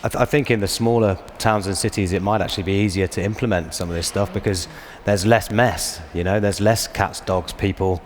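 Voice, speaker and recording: A man's voice: sits low, fairly even intonation, brisk, few pauses. A man talking informally as a panelist, repeating words for emphasis, in an echoey hall. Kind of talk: conversational panel discussion. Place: large hall, panel stage. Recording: echoey hall, faint background noise.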